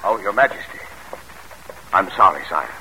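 An actor in a classic radio drama playing an armed sentry, formal and deferential. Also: male voice, sits low, animated, normal speed, sharp tone, heavily acted. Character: deferential armed sentry serving a would-be emperor. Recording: noisy, crackly old radio recording.

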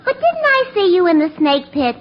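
An actress playing a shrill, snippy tavern regular in a 1940s radio comedy. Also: female voice, very animated, fairly quick. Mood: snide. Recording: muffled, very noisy vintage radio.

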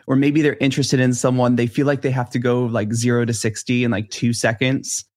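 A man's voice: mid-range voice, flat delivery, a little fast, darkish tone. A man co-hosting a gossip show in casual chat, with a conversational, skeptical way of talking. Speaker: co-host of a celebrity gossip show.

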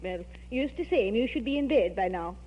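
An actress playing a bossy, wisecracking maid in an old radio comedy, wry and scolding. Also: female voice, fairly high pitch, expressive, normal speed, lightly acted. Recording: muffled, echoey old radio recording, hum.

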